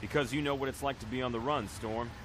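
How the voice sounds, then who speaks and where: An actor playing an earnest young team leader giving urgent commands. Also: male voice, medium pitch, fairly even intonation, fast, noisy background, lightly acted. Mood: commanding. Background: music score.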